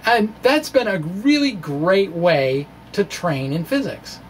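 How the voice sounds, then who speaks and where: A middle-aged man talks through science as an informal video blogger. His delivery is wry and halting, with bursts of theatrical emphasis. He speaks into a microphone in a small room, with faint noise behind him. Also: sits high, animated. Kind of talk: informal explanatory monologue, dramatic reading of own blog. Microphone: handheld-style microphone on stand in front of him. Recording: clear background room noise.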